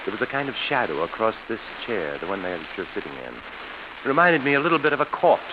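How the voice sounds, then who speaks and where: An actor playing an embittered, falsely genial schemer with quiet menace, savoring his words in 1940s radio suspense style. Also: lowish voice, sing-song, fast. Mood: slyly confiding. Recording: muffled, hissy vintage radio recording.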